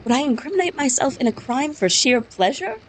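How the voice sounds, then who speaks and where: A woman's voice: sits high, animated, brisk, a little hiss or hum, pitched above the performer's own voice. A woman reading an earnest accused Englishman. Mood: insistent. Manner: insistent, pleading to be believed. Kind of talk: reading game dialogue aloud.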